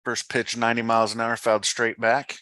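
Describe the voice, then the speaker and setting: A man's voice: medium pitch, completely flat delivery, brisk, sharp tone, dry recording, studio quality. A man giving live baseball play-by-play commentary in clipped, matter-of-fact phrases.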